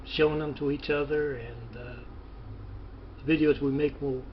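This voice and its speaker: A man rambling haltingly through a phone interview, with hesitant pauses and a muffled, noisy recording. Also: medium pitch, steady tone. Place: phone call.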